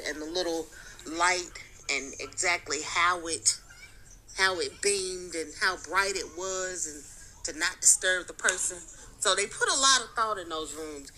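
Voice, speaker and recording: Woman, sharp bright voice, low pitch, enthusiastic and detailed, faint background noise. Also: rough recording. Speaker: cruise passenger giving a review. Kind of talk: recorded spoken review.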